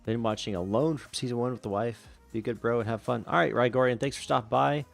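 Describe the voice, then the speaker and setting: A man acting as a virtual air traffic controller, switching between radio phraseology and casual, relaxed chat to a stream. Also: mid-range voice, fast, creaky, soft background music.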